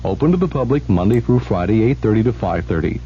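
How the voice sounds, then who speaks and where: A man's voice: on the low side, animated, fast talker, dark tone. A man reading a 1970s radio advertisement as a commercial announcer. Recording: dull old radio broadcast, faint hum.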